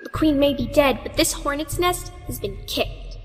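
A woman's voice: high-pitched, animated, moderate pace. A woman voicing a small, caring, anxious talking cube in a comic fan dub, pleading and cautioning. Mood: cautious. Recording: long reverb over background music.

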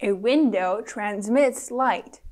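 A young adult woman presenting a children's science lesson, reading scripted simple English deliberately and carefully, with pauses between phrases. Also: mid-range voice, over loud music.

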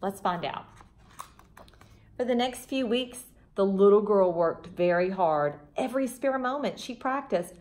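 A woman in her thirties reads a picture book to young children at a middle pitch. Her storytelling is expressive and teacherly, with simple explanations added along the way. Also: a little slow. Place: front room of a house, indoors.

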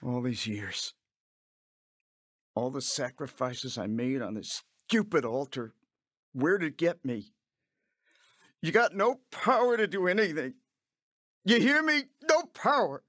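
A man's voice: on the high side, sing-song, unhurried, pauses often, a bit bright, dry recording, clean studio sound. A man acting a guilt-ridden, guarded young father in an audio drama, confessional and macho. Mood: anguished, pleading. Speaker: lead actor and narrator. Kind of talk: audio drama acting and first-person narration.

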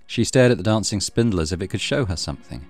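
Deep-voiced man narrating a visual novel. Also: lively intonation, fast. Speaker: let's play host, narrator. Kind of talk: reading visual novel narration aloud with casual commentary. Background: soft game music.

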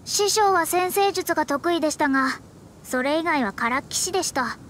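A woman's voice: high voice, animated, normal speed, fairly bright tone, slight background noise. A woman voicing a proud young astrologer mage in an anime game, speaking politely and precisely with a slightly haughty air. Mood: composed, formal. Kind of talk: Japanese anime game voice acting. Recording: game music behind the voice.

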